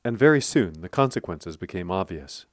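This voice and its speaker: A man narrating a scripted radio documentary in a steady, clear storytelling manner. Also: low-pitched, normal speed.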